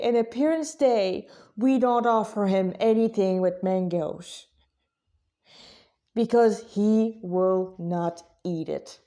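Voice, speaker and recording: Mellow-voiced woman in halting informal talk. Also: fairly high pitch. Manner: halting, conversational, self-correcting. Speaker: religious vlogger, Krishna devotee. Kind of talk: informal spiritual talk to listeners.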